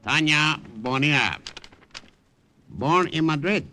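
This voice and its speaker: An actor playing a stern Japanese army captain in a 1950s radio drama, commanding in clipped, broken English. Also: male voice, fairly high pitch, expressive, takes it slowly, bright, forceful tone, full character voice. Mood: officious. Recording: noisy old radio recording.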